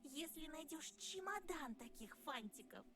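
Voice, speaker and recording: A woman's voice: sits high, animated, normal speed. A woman voicing a playful, sly fox girl in a game, teasing and bouncy. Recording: game audio with music behind.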